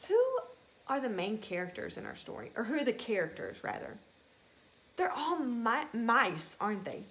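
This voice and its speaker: Higher-voiced woman reading to children. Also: very animated, normal speed, fairly bright tone. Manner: simple, child-directed phrasing with questions to listeners. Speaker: teacher reading aloud to young children. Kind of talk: children's storybook read-aloud with chatty asides.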